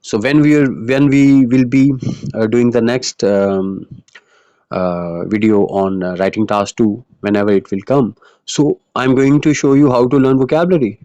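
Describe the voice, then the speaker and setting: Man, Urdu native, IELTS writing tutor, earnest explainer, lots of fillers, mid-pitched voice, dry recording. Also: fairly even intonation, moderate pace, dark tone, dry recording, highs cut off. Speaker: IELTS teacher. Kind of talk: online lesson monologue.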